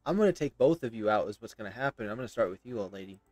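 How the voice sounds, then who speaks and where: A man's voice: fairly low pitch, expressive, racing, darkish tone. A man, casually commenting on a game as he plays and repeating himself. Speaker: game streamer. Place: indoor room.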